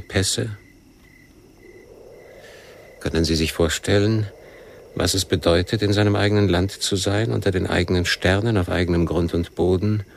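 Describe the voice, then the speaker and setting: An actor playing a world-weary, shrewd guerrilla captain who questions coolly and deliberately in a radio crime drama. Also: male voice, deep voice, creaky. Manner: measured interrogation with abrupt probing questions.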